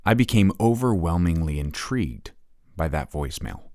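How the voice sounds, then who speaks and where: An actor playing an earnest male rock podcast host, narrating in a scripted documentary style with dramatic, deliberate phrasing. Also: deep voice, very animated, noisy background.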